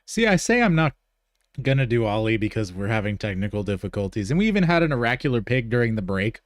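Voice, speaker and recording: Playful man with very lively pitch. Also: fast talker, no room sound, studio quality. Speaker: podcast host. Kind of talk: casual joking banter.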